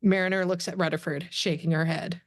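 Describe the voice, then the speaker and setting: A woman's voice: on the low side, steady tone, fairly quick, no room sound, clean studio sound. A woman narrating the stage directions of a script as scripted narration.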